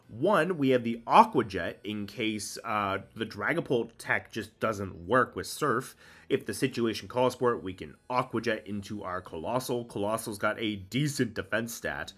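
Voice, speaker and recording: A man's voice: lowish voice, fairly bright tone. A man with a cold and a congested voice, casually explaining a competitive game team and thinking aloud with many ums. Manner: chatty, explains strategy, frequent ums. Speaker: Pokemon VGC content creator.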